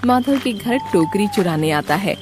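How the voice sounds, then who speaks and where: A woman's voice: mid-range voice, lively intonation, moderate pace, music in the background. A woman reading scripted storybook narration for a children's cartoon.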